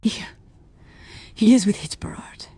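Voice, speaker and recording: An actress in a radio play voicing an anguished, fearful mother, speaking intimately and dramatically in a breathy, husky voice. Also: unhurried, no room sound, in a whisper, close to an everyday voice. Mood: anguished, urgent. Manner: dramatic, swinging from hushed to urgent. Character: anguished, fearful wife and mother, intense and brooding.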